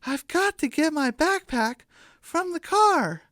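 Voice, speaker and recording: A squeaky young hen in a cartoon radio play. The pitch sits far up high and jumps about. She is excitable and exclaims her words in a close, dry recording. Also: slow, no echo, full character voice. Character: excitable squeaky young female chicken friend.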